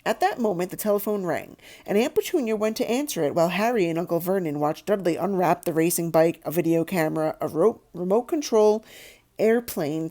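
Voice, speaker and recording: A woman's voice: lowish voice, dark tone. A woman reading a children's novel aloud as storytelling narration. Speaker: audiobook reader.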